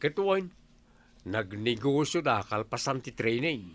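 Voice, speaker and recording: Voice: medium pitch, sing-song, measured pace, no room sound. An actor playing a nagging, teasing village husband in a comedy radio drama, with an Ilocano accent. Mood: matter-of-fact. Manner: teasing, scolding.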